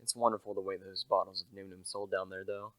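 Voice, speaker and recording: A man's voice: deep voice, little pitch movement, fast, pushed and bright, no echo. A young man playing a humble, earnest salesman turned amateur sleuth in a radio play, plain-spoken and a little hesitant. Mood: chatty, modest. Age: young adult.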